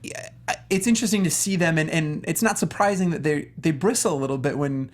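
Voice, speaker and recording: A panelist in a casual talk show discussion, with a voice of medium pitch. The delivery is earnest and emphatic, running on at length as thoughts build up. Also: a little fast. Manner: earnest, emphatic, long-winded. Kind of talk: casual film and game discussion.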